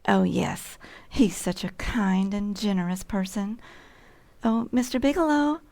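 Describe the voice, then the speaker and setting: A woman's voice: mid-range voice, lively intonation, unhurried, warm tone. Woman playing gushing, lovestruck spinster shop clerk. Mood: dreamy, admiring. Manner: gushing, flirtatious, sometimes breathy. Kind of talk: old-time radio sitcom acting.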